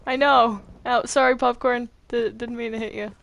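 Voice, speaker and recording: High-pitched woman in playful gaming chat. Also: steady tone, moderate pace, vocal fry, a bit bright, no echo. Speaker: gamer in a group game session.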